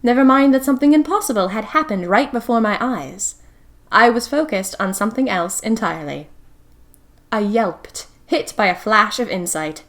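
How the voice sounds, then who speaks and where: A woman's voice: on the high side, lively intonation, moderate pace, a bit bright. Audio drama lead: actress playing adventure-hungry young woman of the twenties, theatrical and wistful first-person diary storytelling. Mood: breathless storytelling. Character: spirited young single woman of 1920s, romantic, adventure-hungry diarist.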